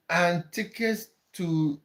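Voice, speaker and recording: A man's voice: sits high, fairly bright tone. Man, livestream host, chatty, reads viewer comments, repeats words mid-thought. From Cameroon. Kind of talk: casual live chat with viewers.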